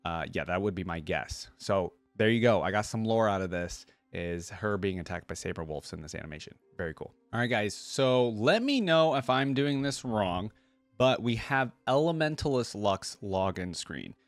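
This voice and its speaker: A man's voice: lowish voice, animated, normal speed, no echo. A man giving casual, slangy gaming reaction commentary, thinking aloud as he goes. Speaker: reaction video host. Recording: music playing behind the voice. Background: game trailer and theme music.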